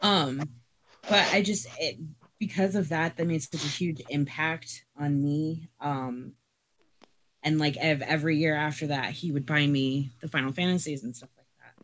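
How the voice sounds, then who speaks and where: A woman on a podcast panel sharing a personal story, speaking earnestly and haltingly with many pauses. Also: low-pitched, steady tone.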